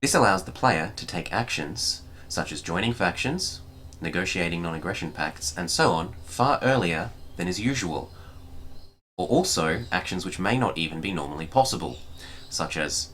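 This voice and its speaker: A man narrating a scripted gaming tutorial in a formal, measured, precise manner. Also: sits low, expressive, fairly bright tone, slight background noise. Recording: music behind the voice. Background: music.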